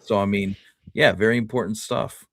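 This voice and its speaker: A man's voice: fairly low pitch, fairly even intonation, moderate pace, creaky, no echo, clean studio sound. A man co-hosting a history show, talking casually and tentatively, often rephrasing and qualifying his points. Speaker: co-host of a history discussion show.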